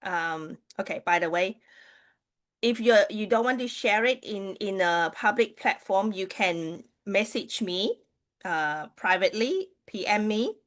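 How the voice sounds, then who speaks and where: A woman's voice: medium pitch, expressive, measured pace. A woman from Malaysia whose first language is Mandarin Chinese, hosting a casual livestream and chatting with viewers, with many fillers and restarts. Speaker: livestream host, Chinese astrology reader.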